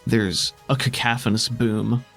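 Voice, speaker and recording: A man's voice: medium pitch, flat delivery, unhurried, creaky, fairly bright tone, faint music behind. A man narrating a tabletop game as game master, in descriptive, dramatic storytelling.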